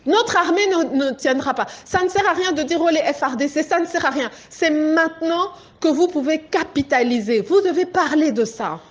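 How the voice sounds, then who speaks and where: A woman's voice: high voice, moderate pace, hardly any pauses, lo-fi sound. A woman from Congo with a Congolese French accent, giving an impassioned political address to a group as an activist, urgent and insistent, driving her points home. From Democratic Republic of the Congo.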